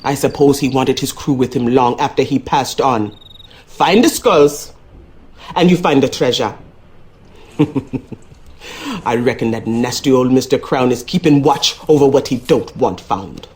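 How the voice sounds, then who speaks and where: A man's voice: mid-range voice, animated, measured pace, a little mellow, natural voice. An actor playing a folksy local man with an American Southern accent, warning a detective in a video game. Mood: wry, cautionary. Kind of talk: video game character acting.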